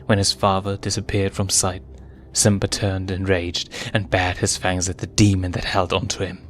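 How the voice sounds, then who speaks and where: A man's voice: sits low, monotone, moderate pace, a little hiss or hum. A man reading a story aloud as a narrator, in a dramatic, scripted storytelling style. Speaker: fan fiction reader and narrator. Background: music.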